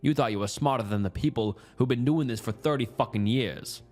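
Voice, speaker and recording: A man voicing a masked cult leader in a horror story, with a deep Southern US accent, speaking in a level, almost bored, quietly malicious way. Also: fairly quick, natural voice. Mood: bored contempt. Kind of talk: horror audio story dialogue. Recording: music under the voice.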